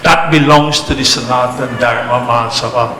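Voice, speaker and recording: A man from Trinidad who spent his early life in England, giving an emphatic, deliberate public speech to a community gathering in short, repeated phrases. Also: medium pitch, fairly even intonation, a crowd in the background. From born in Trinidad, spent early life in England. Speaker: religious organisation leader giving a speech. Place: temple celebration, amplified to an audience. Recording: echoey hall PA, noisy background.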